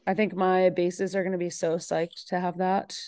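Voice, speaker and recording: Female moderator, slightly low pitch, casual meeting chat, appreciative and encouraging delivery. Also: little pitch movement, moderate pace, dark tone. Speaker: session moderator and choir teacher.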